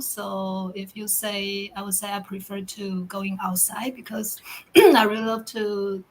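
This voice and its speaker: A woman from Taiwan chatting casually in an online group talk, speaking haltingly and often clearing her throat. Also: mid-range voice, rough recording. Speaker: guest co-host.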